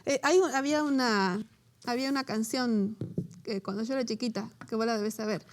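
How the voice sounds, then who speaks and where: A woman from Latin America leading a conversational Bible teaching, animated and quick to laugh, turning emotional over a personal story. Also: on the high side. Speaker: co-host of a Bible study show.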